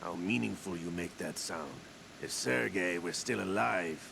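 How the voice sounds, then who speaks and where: A man's voice: sits low, unhurried, noisy background, heavily acted. An actor playing a scheming older male mercenary who talks in a cold, threatening way, in a video game cutscene. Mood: menacing. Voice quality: gravelly. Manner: sneering, threatening. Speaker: scheming veteran officer.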